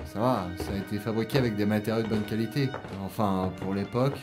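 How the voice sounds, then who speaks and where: An actor playing an enthusiastic, history-loving sidekick on an exploration in an audio drama, chatting in a casual, easygoing way. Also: male voice, fairly low pitch, fast talker, close to an everyday voice. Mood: fascinated. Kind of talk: French audio drama, naturalistic dialogue. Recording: roomy echo, music underneath.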